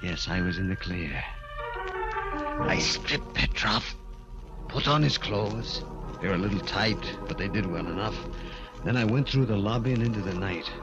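An actor playing a desperate former dwarf turned killer in an intense 1940s radio thriller. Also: male voice, lowish voice, expressive, normal speed, long pauses, muffled recording, music in the background, lightly acted. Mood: haunted narration. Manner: intense, dramatic. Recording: old 1940s radio recording, faint noise.

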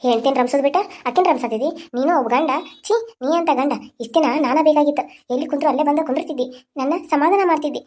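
A woman's voice: sits high, very fast, darkish tone, close to an everyday voice. A woman voicing a harsh, scornful aunt who nags bitterly, with a North Karnataka Kannada accent. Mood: bitter scolding. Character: harsh scolding middle-aged aunt. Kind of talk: village family drama acting.

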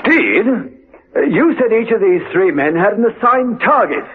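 A woman playing a brisk, baffled prison colonel in a radio drama, husky and clipped in manner. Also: medium pitch, big pitch swings. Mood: puzzled, businesslike. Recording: muffled, lo-fi radio recording.

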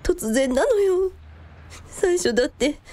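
A woman's voice: very high-pitched, animated, takes it slowly, mellow, exaggerated voice. A woman playing an easygoing eldest sister who puts on a brave face, in a radio drama. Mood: wistful.

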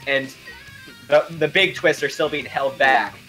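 Bright-voiced young man, frank and chatty. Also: medium pitch, unhurried, rough recording. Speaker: fandub show co-host. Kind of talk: casual chat about a game's story. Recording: music behind the voice. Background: video game music.

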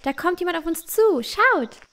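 A woman's voice: very high-pitched, expressive, moderate pace, fairly bright tone. A woman playing a clever, confident, cheeky village girl with a bookish manner in a fantasy audio drama. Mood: excited. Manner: self-assured, bookish.

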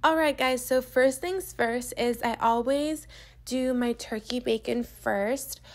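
A young woman with a fairly high voice talks through her cooking as a vlogger. She gushes and fills her sentences with "like." Her tone is easy and chatty. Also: takes it slowly. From US East Coast. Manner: chatty and gushing, heavy use of "like". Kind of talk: casual meal prep voice-over. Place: home kitchen.

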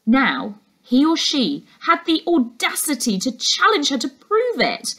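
A woman's voice: sits high, wildly swooping pitch, unhurried, a bit bright. Young woman reading a story. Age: young adult. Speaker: teacher reading aloud. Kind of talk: expressive children's storybook narration. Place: furnished indoor room.

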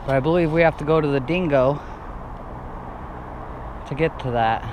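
A man with a fairly high voice talks to himself as he rides a forest bike trail, tossing out short remarks between climbs. He is picked up by an action camera on his body outdoors, with loud wind and rattling trail noise. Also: moderate pace. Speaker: mountain biker filming his ride. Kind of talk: casual running commentary while riding. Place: wooded dirt trail outdoors. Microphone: helmet or chest-mounted action camera.

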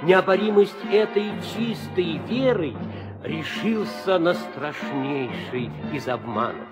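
A man giving solemn, measured dramatic narration for a 1960s audio drama. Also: a little high, animated, music in the background.

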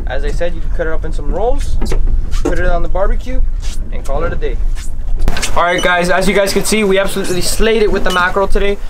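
A young man hosting a casual fishing vlog outdoors, talking enthusiastically to viewers and often repeating himself. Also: high-pitched. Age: young adult. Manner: enthusiastic, repetitive, addresses viewers as guys. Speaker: fishing show host. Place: outdoors, on a small boat and at a dock. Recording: noisy outdoor recording, boat and wind ambience.

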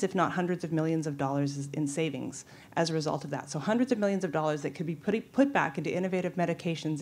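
Brief: middle-aged woman, very low voice, measured and persuasive, conference hall. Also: a little mellow. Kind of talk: audience floor comment.

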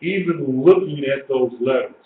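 Male religious teacher, 60s, emphatic and repetitive, medium pitch, echoey small room, phone-like sound. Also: moderate pace. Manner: emphatic, repeats phrases for emphasis. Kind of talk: informal sermon, teaching to a small group. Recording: echoey, tinny lo-fi webcam stream with hiss.

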